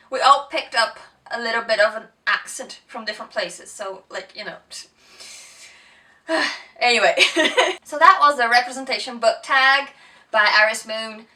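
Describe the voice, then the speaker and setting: A woman with a blended Brazilian, American, English and Northern Irish accent talks to camera in a chatty, giggly way, full of ums and self-corrections. Her voice is bright and a little high, and she sits some way back from the mic. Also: distant mic. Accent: mixed Brazilian, American, English and Northern Irish. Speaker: book vlogger. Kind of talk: casual chat to camera.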